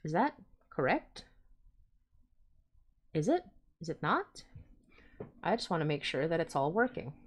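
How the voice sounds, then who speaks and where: A woman's voice: sits low, big pitch swings, a little slow, long pauses, slight background noise. A woman hosting a casual livestream, chatting informally and often interrupting herself as she goes. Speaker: podcast host and creator.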